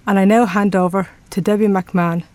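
A woman's voice: mid-range voice, steady tone, fairly quick, darkish tone, slight background noise. A woman with an Irish accent reading a scripted announcement as a radio announcer. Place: radio studio.

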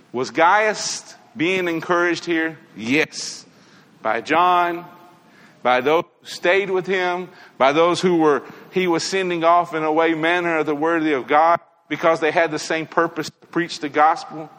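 Male preacher, earnest and conversational, high bright voice, sermon in an echoing church hall. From Tennessee, USA. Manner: earnest, conversational, addresses congregation directly. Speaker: preacher giving a morning lesson. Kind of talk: sermon with prayerful asides.

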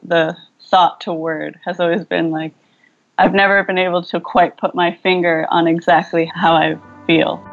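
A woman's voice: on the low side, little pitch movement, moderate pace, gravelly, lo-fi sound. A young woman filmmaker, speaking thoughtfully over a video call and searching for her words with fillers and restarts. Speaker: filmmaker guest. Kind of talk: relaxed video-call interview.

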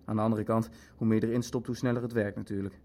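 A man's voice: lowish voice, level tone, quick pace, dark tone, natural voice. A man acting a detached Nazi bureaucrat in a radio play, clinical and hesitant, fussy about figures. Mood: detached, factual. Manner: hesitant, clinical, leafing through his facts. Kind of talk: dramatic dialogue in a radio play.

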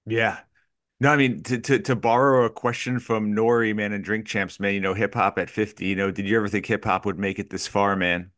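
A man's voice: lowish voice, flat delivery, brisk, fairly bright tone, no echo, studio quality. A man hosting a casual podcast interview, speaking informally and often saying man and you know.

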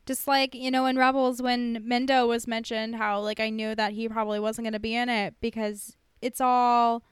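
Female podcast co-host, high clear voice, informal and sweary, chatting casually in a dry studio-like sound. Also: flat delivery, normal speed, no room sound. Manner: informal, profane, heavy use of "like". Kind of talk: casual chat reviewing a TV episode.

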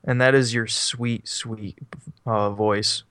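A man's voice: on the low side, fairly even intonation, slow pace, a bit bright, clean tone, no echo, studio quality. A young man co-hosting a casual podcast from his couch, rambling and self-deprecating, laughing often. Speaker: podcast co-host. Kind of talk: casual chat. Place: on a couch at home. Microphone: microphone set up beside him.

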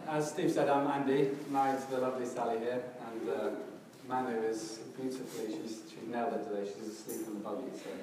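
A middle-aged man with a slightly hoarse, crackly voice, preaching a casual church sermon in a relaxed, self-deprecating way with many fillers and restarts. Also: medium pitch, fairly quick, few pauses. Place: church hall, in front of a congregation. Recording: distant, very echoey hall with background noise.

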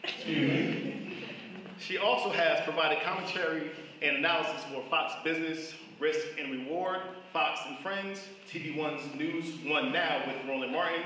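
A man's voice: on the high side, bright, forceful tone. A man hosting a live event in a casual, colloquial way, introducing and interviewing a guest before an audience. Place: large indoor room at a live event. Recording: distant echoey hall, faint noise.

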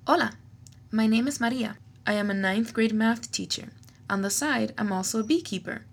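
Woman playing storybook math teacher, gravelly edge, husky, friendly, matter-of-fact. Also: a little high, normal speed. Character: friendly ninth grade math teacher and beekeeper.

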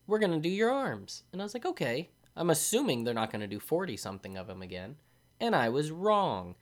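A man hosting a solo podcast, talking casually in a drowsy, rambling way and often breaking off mid-sentence. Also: fairly low pitch. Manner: sleepy, rambling, self-interrupting. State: drowsy from medication. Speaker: podcast host.

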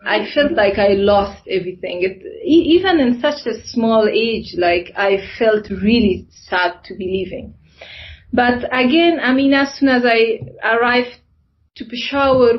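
Through slightly dull, low-fidelity audio, a woman from Afghanistan talks in a middle-pitched voice. English is not her first language, and she tells personal stories as an interview guest, hesitating as she looks for the right words.

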